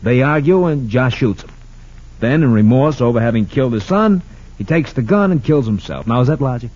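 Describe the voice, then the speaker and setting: An actor playing a blunt, dogged American police lieutenant in old-time radio drama style, matter-of-fact and clipped. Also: male voice, mid-range voice, expressive, brisk, darkish tone, slightly rough. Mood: skeptical, insistent. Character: blunt, dogged male police lieutenant, sure of his theory. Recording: muffled 1940s-50s radio recording.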